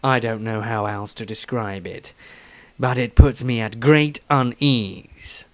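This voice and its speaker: A man voicing a brooding, uneasy man with secrets, reading his diary gravely and haltingly. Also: medium pitch, fairly even intonation, a bit bright, no echo.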